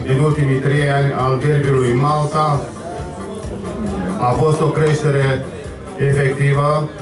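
A middle-aged man delivers a formal speech in a very even, unvaried tone. His voice comes over a PA in an echoey hall, picked up from a distance. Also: medium pitch. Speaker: association president giving thanks. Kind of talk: formal thank-you speech. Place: banquet hall with draped walls. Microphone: handheld mic through PA, heard from camera. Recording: echoey hall from afar, music behind.

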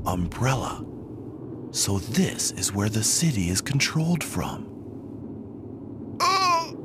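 An actor playing a confused amnesiac young man in a video game, with halting, dramatic delivery. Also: medium pitch, wildly swooping pitch, noisy background. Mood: brooding, shaken.

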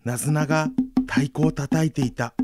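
An actor playing a gentle, devoted young Jomon-era village man in a radio drama. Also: a little high, steady tone, normal speed, close to an everyday voice. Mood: reminiscing. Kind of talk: radio drama acting with storytelling narration. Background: instrumental music.

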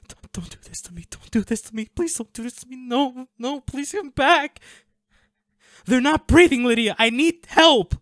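A man playing a devoted, anguished boyfriend in an intimate audio roleplay, pleading and halting with emotion. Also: very high-pitched, expressive, a bit bright, no room sound, clean studio sound. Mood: panicked, pleading. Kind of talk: intimate dramatic audio roleplay, one-sided dialogue.

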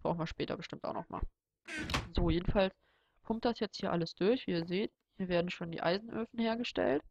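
A young man with a cold giving relaxed, rambling commentary over a game tutorial, thinking aloud as he goes. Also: high-pitched, brisk, creaky, muffled recording, background noise. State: has a cold. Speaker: Let's Play host. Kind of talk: casual gameplay tutorial commentary.

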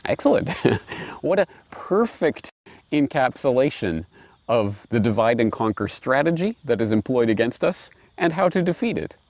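A middle-aged man presenting and reading aloud in a musing, wry, expressive way, recorded outdoors on a lapel mic. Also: medium pitch, normal speed, no room sound. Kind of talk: reflective commentary and storybook reading. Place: outdoors in a grassy park.

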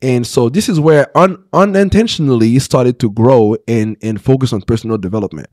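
A man's voice: medium pitch, fairly quick, darkish tone, dry recording. Earnest man in his thirties, dry sound. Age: 30s. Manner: earnest, repeats words and restarts, checks in with "right?" and "okay". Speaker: pastor and podcast guest. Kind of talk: candid personal storytelling. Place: small podcast studio. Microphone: close studio podcast mic.

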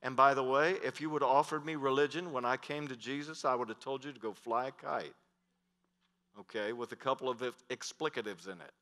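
Middle-aged male pastor, headset mic, emphatic sermon delivery with loud and hushed swings, bright tone, big hall reverb. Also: medium pitch, fairly quick. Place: large church stage.

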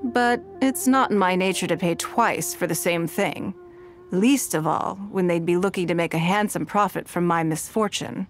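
Woman doing a cool rich noblewoman, slow and measured, game music under her. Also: lowish voice, natural voice. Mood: reflective. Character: poised wealthy noblewoman, calm and shrewd. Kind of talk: game dialogue voice acting. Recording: game audio with music behind.

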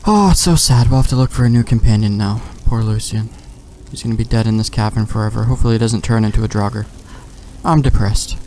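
Creaky-voiced man playing games. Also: mid-range voice, a little mellow, slight background noise. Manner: rambling, thinks aloud, mild swearing. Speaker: let's play host. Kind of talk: casual gameplay commentary. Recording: game music faintly behind. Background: faint game music.

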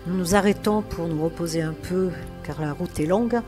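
A woman narrating her road trip in a travel vlog, unhurried and with hesitant fillers. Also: on the low side, dark tone. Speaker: travel vlogger. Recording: faint music in background.

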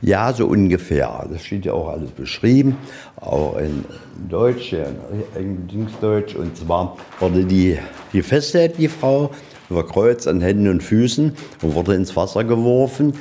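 Low-pitched, mellow-voiced man guiding a tour. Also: big pitch swings, unhurried. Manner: plain-spoken, colloquial, step-by-step explaining. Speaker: museum guide. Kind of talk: explaining exhibits on a guided tour. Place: inside a museum, on location.

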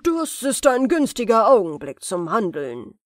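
A woman plays a vengeful, scheming sorcerer in a storybook tale. The delivery is forceful and theatrical, with a lively, swooping pitch and a dry, close sound. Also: measured pace, no room sound. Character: wicked vengeful sorcerer, scheming grown man. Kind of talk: fairy-tale audiobook character voice.

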